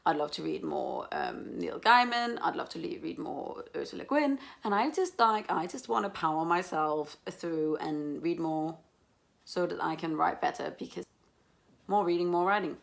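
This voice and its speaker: A woman's voice: fairly low pitch, expressive, normal speed, gravelly. A woman hosting a casual book vlog, chatting in an enthusiastic, rambling, self-mocking and sweary way. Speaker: book reviewer, video host.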